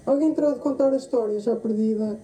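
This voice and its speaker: A woman with a European Portuguese accent performs a theatrical radio-drama monologue, deliberate and reflective, with pauses and repeated phrases. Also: a little high, dark tone. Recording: roomy echo with faint noise.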